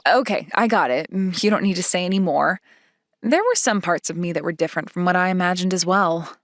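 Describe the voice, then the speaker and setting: A woman's voice: lowish voice, brisk, dry recording, clean studio sound, close to an everyday voice. A woman as a coy, easily flustered schoolgirl. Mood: mildly indignant. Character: reserved yet playful, easily flustered schoolgirl love interest. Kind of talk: manga dub acting.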